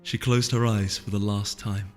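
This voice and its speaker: A man reading fantasy fiction as a story narrator, in an expressive, suspenseful scripted style. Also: fairly low pitch, normal speed. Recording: long hall reverb under music.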